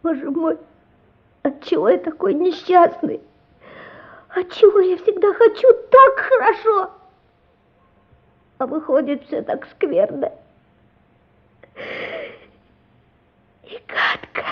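A woman playing an anguished, pleading little boy in a radio play, earnest and frightened, speaking in emotional, halting phrases. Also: very high voice, expressive, muffled recording. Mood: despairing. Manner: emotional, halting, breathless whispers and cries.

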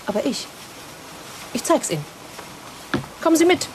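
A self-assured secret agent in her early thirties. Her highish voice moves in lively swings, brisk in a radio drama with clear background noise. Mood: brisk, confident. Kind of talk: radio play acting. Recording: radio play with noisy background.

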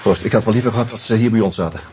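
An actor playing a sociable, teasing screenwriter in a Dutch radio drama, speaking in a conversational, hesitant, confiding way. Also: male voice, lowish voice, racing, darkish tone, a little hiss or hum, natural voice. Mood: earnest, confiding. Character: sociable, teasing screenwriter sharing his suspicions over drinks.

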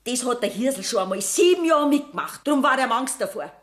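A woman's voice: a little high, lively intonation, fairly quick, lightly acted. An actress playing an embittered, poor middle-aged Bavarian mother who accuses bluntly in a Bavarian accent, in a radio play. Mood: bitter. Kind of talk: 1970s German radio play acting.

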